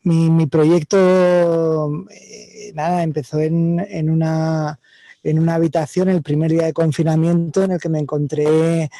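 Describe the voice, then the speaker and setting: Panelist, male, Spain Spanish accent, gravelly and dark, halting, dry sound. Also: a little high, steady tone, no echo, poor audio. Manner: halting, reflective, many restarts. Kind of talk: online panel talk. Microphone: video call.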